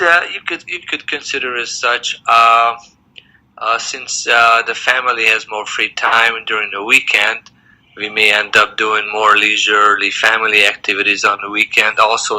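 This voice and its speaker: A man's voice: on the low side, pushed and bright, poor audio. A man in his sixties who grew up in Hungary, answering interview questions in a thoughtful, hesitant way with many fillers and restarts. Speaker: interviewee, semi-retired dentist.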